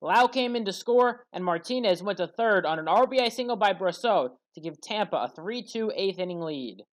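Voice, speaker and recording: A woman's voice: medium pitch, expressive, moderate pace. A woman commentator reading a scripted play-by-play sports recap in a factual, orderly way.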